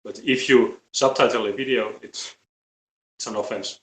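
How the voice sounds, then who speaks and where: A man with a Finnish accent talking casually and bluntly on a live stream, halting and repeating his words. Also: medium pitch, steady tone, fairly bright tone. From Finland. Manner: halting, repetitive, blunt with swearing. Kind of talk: casual opinionated live talk. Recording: roomy, echoey live-stream audio.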